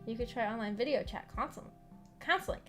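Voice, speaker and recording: A young adult woman livestreaming in a casual, halting, rambling chat with her viewers over background music. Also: on the high side, a bit bright. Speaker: streamer hosting a visual novel book club.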